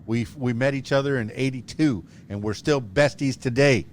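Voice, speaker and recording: A man in his fifties hosts a podcast in a relaxed, rambling way, joking and restarting his sentences. His voice sits at an ordinary middle pitch. A faint low rumble runs underneath. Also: moderate pace. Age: 50s. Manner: rambling, jokey, with many restarts. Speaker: podcast host. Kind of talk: casual interview chat.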